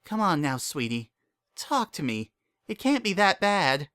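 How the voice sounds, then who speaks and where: Man as a high-voiced, protective motherly mare. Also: very animated, a little slow, a bit bright, no echo, studio quality, heavily acted. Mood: soothing, protective. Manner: soothing, fussing, motherly. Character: caring, protective young mare pony friend.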